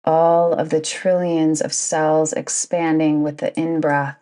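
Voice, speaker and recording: A woman guiding a yoga nidra meditation in a soothing, deliberate manner, with long pauses between phrases. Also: low voice, level tone, warm tone. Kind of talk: guided meditation narration.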